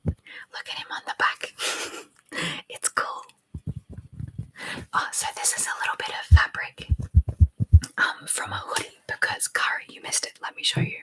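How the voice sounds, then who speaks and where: A woman hosting a whispered ASMR live chat, reading viewer comments aloud and softly repeating phrases over and over. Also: unhurried, long pauses, poor audio. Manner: reads viewer comments aloud and answers them. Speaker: ASMR livestream host.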